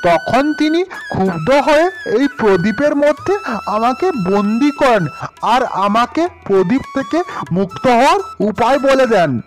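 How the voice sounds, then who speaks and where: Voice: mid-range voice, expressive, normal speed, soft background music, natural voice. A performer voicing a loyal, grateful lamp genie who speaks formally and respectfully to a master in a fairy-tale cartoon. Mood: earnest recounting. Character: grateful, loyal male lamp genie, later a freed prince.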